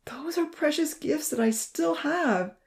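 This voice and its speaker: A woman voicing an anguished young male burn survivor, humble and guilt-ridden, halting with a breathy, choked voice. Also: high voice, lively intonation, darkish tone, voice pushed up. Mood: tearful, embarrassed. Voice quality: breathy, choked voice breaking into sobs. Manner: halting, pleading, confessional.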